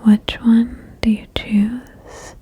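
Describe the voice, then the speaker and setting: A woman whispering a guided ASMR meditation in a breathy, soothing way, with gentle instructions and long pauses. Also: a little high, flat delivery, lo-fi sound. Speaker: ASMR host guiding a relaxation game.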